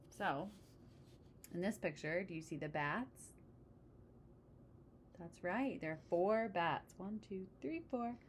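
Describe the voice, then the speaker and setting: A woman reading a children's picture book aloud, pausing for chatty asides and questions to the listener. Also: medium pitch, slight background noise. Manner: engaging, asks the listener questions. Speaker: storybook reader.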